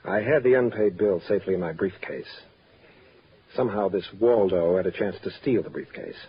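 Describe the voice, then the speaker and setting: A man's voice: low-pitched, fairly quick, husky. An actor playing a guarded, businesslike married man of means, curt and stiff, in an old radio drama on a muffled, noisy recording. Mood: rueful. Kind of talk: mid-century radio drama acting.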